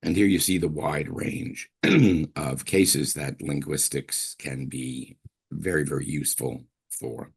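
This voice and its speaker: Older male professor from brooklyn, very low gravelly voice, husky, rambling lecture with throat clearing. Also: steady tone, takes it slowly. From Brooklyn, New York. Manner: chatty, digressive, frequent throat clearing and fillers. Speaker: linguistics professor giving a lecture. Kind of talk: university guest lecture.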